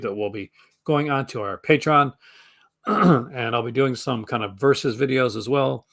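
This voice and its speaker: Hoarse, rambling male stream host. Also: medium pitch, a bit bright. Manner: rambling, enthusiastic, many fillers and restarts. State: losing his voice. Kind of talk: casual live stream chat.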